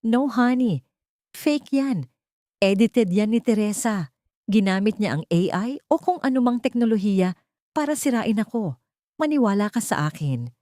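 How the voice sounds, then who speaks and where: A woman's voice: fairly high pitch, expressive, unhurried, pauses often, mellow, no echo, clean studio sound, pitched above the performer's own voice. A woman voicing a scheming, venomous socialite villainess in an audio drama, mixing Tagalog with English. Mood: pleading. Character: scheming, venomous young socialite villainess, desperate when cornered.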